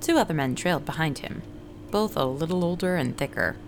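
A woman's voice: sits low, animated, brisk, background noise. A woman playing a friendly, ordinary runner and ad writer, narrating her story in a scripted fiction podcast. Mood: narrating, calm. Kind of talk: first-person scripted audio drama narration with dialogue.

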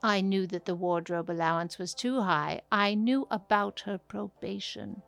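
Creaky, slow-spoken woman boss. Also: mid-range voice, a bit bright, no room sound, faint music behind. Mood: cutting. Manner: measured, condescending. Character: stern female TV studio boss, coolly cutting. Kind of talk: scripted audio drama acting.

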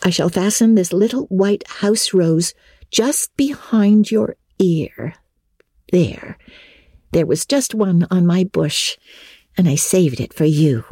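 A woman's voice: lowish voice, takes it slowly, mellow, husky, no room sound, clean studio sound, pitched above the performer's own voice, exaggerated voice. A woman voicing a vivacious, bossy and affectionate teenage girl who fusses over her best friend in a storybook reading. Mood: bossy, fussing. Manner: gushing, decisive, affectionate.

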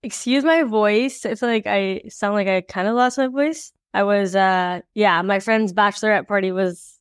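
A woman hosting a podcast with a smooth, clear voice, recorded close and dry. She talks in a relaxed, chatty way and introduces her guest informally. The sound is intimate, with no room echo. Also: mid-range voice, moderate pace. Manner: relaxed, chatty, uses fillers. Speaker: podcast host.

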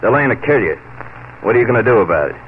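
A man's voice: mid-range voice, very animated, very fast, lightly acted. Man playing a curt, menacing western tough, muffled radio. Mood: sullen. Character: hard, threatening western man of authority. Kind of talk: 1940s radio western acting. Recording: muffled, noisy 1940s radio broadcast.

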